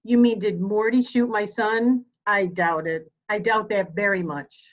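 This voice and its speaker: A woman's voice: medium pitch, steady tone, unhurried, close to an everyday voice. A woman playing a composed, formal older mother in a mystery drama reading, precise and guarded, in slightly dull sound. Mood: cool, guarded. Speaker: amateur reader, library staff.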